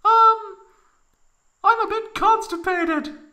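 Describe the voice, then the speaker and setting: A man voicing a whiny young woman in an exaggerated falsetto for a comic story reading. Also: slow pace, bright, forceful tone, heavily acted. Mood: sheepish.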